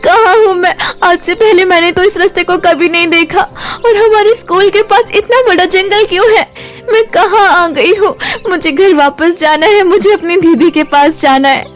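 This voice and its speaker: A woman's voice: extremely high pitch, moderate pace, soft background music, pitched above the performer's own voice. A woman voicing a timid, sweet young schoolgirl in a children's storybook tale, earnest and wide-eyed. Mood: frightened, lost.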